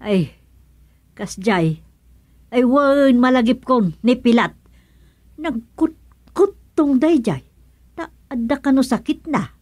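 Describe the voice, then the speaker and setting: A woman's voice: a little high, lively intonation, slow pace, long pauses, a little mellow, dry recording, clean studio sound. An actress playing a gossipy, easily surprised village woman in an Ilocano comedy radio drama.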